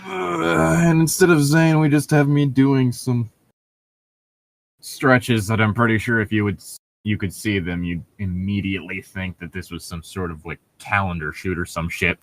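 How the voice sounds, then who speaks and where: A man's voice: lowish voice, fairly even intonation, moderate pace, gravelly. A man hosting a casual comedy read-along, bantering and reading aloud in a wry, joking, conversational way. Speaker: podcast host reading fan fiction aloud.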